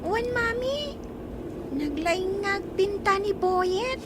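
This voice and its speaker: A woman's voice: extremely high pitch, lively intonation, slow pace, heavy background noise, full character voice. A woman acting a sweet, eager little girl in a radio drama. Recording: loud music behind the voice.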